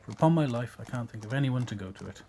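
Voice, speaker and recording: A man's voice: medium pitch, animated, quick pace, vocal fry, a crowd in the background. An actor playing a callous, jocular businessman in an audio drama. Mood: mocking, amused. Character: callous, jocular businessman gossiping about a death.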